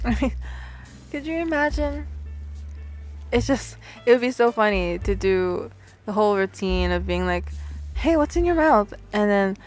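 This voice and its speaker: A woman's voice: medium pitch, lively intonation, measured pace, pauses often, natural voice. A woman acting as a bubbly, slightly awkward woman out speed dating, talking straight to the listener in an ASMR roleplay. She rambles playfully and hesitates in a natural, giggly, conversational way. Music plays behind her voice. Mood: playful, chatty.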